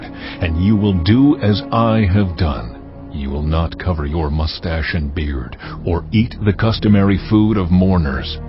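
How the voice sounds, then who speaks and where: An actor playing a stern, wrathful God, speaking gravely and commandingly in a dramatized audio Bible. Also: male voice, sits low. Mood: solemn, stern. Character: God, stern wrathful sovereign Lord pronouncing judgement. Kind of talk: dramatized audio Bible, solemn scripture oration. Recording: dull, muffled audio with music. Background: cinematic music.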